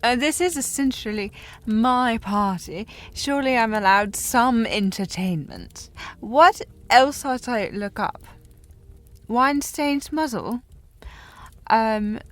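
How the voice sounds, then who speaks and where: A woman playing a tipsy, haughty young noblewoman in a historical audio drama, teasing and rambling in a halting way. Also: a little high. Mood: playful, tipsy. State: playing slightly drunk. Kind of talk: scripted audio roleplay, period drama.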